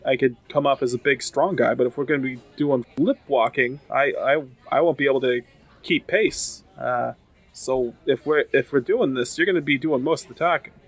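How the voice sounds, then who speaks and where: A man playing a tabletop role-playing game, chatting casually in a halting, self-deprecating, joking way. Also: medium pitch. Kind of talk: casual chat and roleplay.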